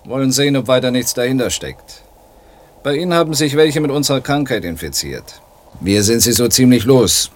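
An actor playing a stern, suspicious border official in a radio drama, curt and bureaucratic. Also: male voice, mid-range voice, animated, normal speed, close to an everyday voice.

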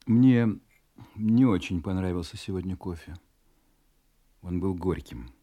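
An actor in a Russian audio drama playing a controlling husband, patronizing, insistent and menacing. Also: male voice, deep voice, a little slow, mellow. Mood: cold, watchful.

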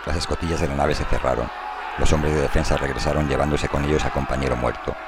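A man's voice: rumbling low, fairly even intonation, quick pace, heavy background noise. A man giving a dramatized literary narration for radio. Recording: prominent music bed under the voice. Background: loud music.

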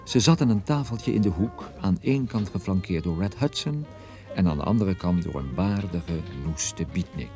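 An actor playing a wry, sardonic male private detective, narrating his own case in a measured radio drama style. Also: low voice, sing-song, over music.

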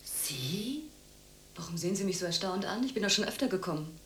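A woman playing a reserved, quietly unsettled visitor in a radio drama, speaking in terse, subdued phrases. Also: lowish voice, lively intonation, brisk, slight background noise.

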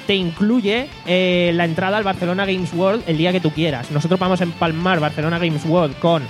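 A man's voice: high-pitched, fairly even intonation, fairly quick, music in the background. A man hosting a casual podcast, reading out news and poll results in a playful, joking way. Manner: playful, joking, reads the segments. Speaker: podcast host. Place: studio away from home.

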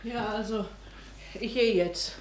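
An actress playing a plain, determined household maid in a German radio drama. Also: female voice, on the high side, slow. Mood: determined, uneasy.

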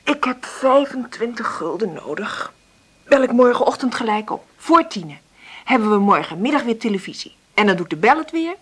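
A woman playing a middle-aged, hard-up mother in a naturalistic radio drama, understated and hesitant, with a husky, breathy voice. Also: on the high side, sing-song, moderate pace. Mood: coaxing. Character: hard-up, dreamy single mother, gentle but stubbornly hopeful.

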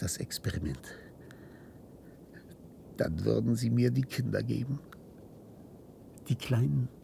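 An actor playing an obsessive, tormented scientist in a German radio play, theatrical and halting, moving between hushed whispers and outbursts. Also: male voice, medium pitch, vocal fry, slight background noise. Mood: bitter, haunted. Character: obsessive, tormented male linguist scientist, desperate and defiant.

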